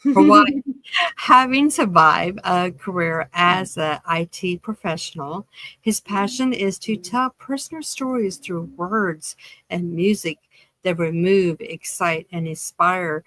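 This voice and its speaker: A woman's voice: fairly low pitch, a bit bright, dry recording. A woman hosting a podcast interview, introducing her guest and putting questions, sometimes stumbling as she reads. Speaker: podcast host.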